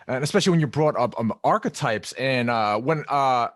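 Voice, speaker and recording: A man's voice: mid-range voice, lively intonation, normal speed, fairly bright tone, no room sound, clean studio sound. A man hosting a casual interview podcast, putting his questions and comments in a tentative, hesitant way.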